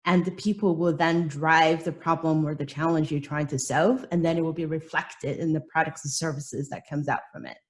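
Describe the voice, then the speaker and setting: A woman's voice: low voice, fairly even intonation, moderate pace, few pauses. Reflective middle-aged woman with slight British accent. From Hong Kong. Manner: reflective, frequent restarts and rhetorical questions. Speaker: panelist. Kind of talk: webinar panel discussion.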